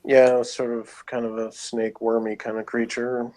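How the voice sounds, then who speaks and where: A man's voice: medium pitch, flat delivery, normal speed, a little mellow, rough recording. A man co-hosting a casual review chat, talking in a rambling, matter-of-fact way. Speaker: podcast co-host reviewing a TV show.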